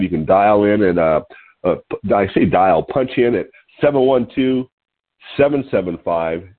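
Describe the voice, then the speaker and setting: A man in his sixties reads an article aloud to callers from his home, nasal and sniffly from allergies, with a low, husky voice. He adds little chuckling asides between points. The sound comes through a thin, tinny phone line. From California. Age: 60s. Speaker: host. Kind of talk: reading an article on a call-in show. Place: home room.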